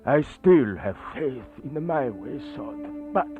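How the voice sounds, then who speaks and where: An actor playing a grave, resigned priest turned vampire, speaking in measured, theatrical radio horror style. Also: male voice, mid-range voice, expressive. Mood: resigned, rueful. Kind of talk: radio horror play acting. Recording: thin phone-like band-limited audio with music.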